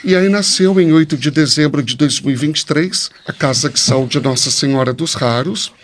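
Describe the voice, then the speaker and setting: A man's voice: on the high side, moderate pace, a little hiss or hum. A Brazilian man speaking Portuguese with a Brazilian accent as a podcast guest priest, telling personal stories expressively with rhetorical questions. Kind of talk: personal storytelling in a podcast interview.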